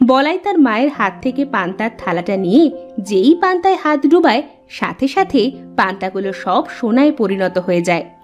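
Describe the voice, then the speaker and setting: A woman's voice: a little high, very animated, normal speed, highs cut off, soft background music. A woman reading scripted narration for a cartoon story.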